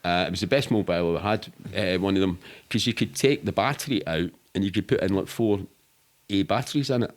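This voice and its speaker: A man's voice: low-pitched, lively intonation, quick pace. A middle-aged man with a Glaswegian accent, telling rambling, sweary, matter-of-fact anecdotes in casual interview chat. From Scotland. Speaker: podcast guest.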